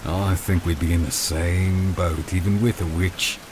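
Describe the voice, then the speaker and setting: Man playing a low-voiced, mild, earnest young healer. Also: fairly even intonation, measured pace, noisy background. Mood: apologetic, earnest. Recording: loud music and rain behind. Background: game music and rainfall.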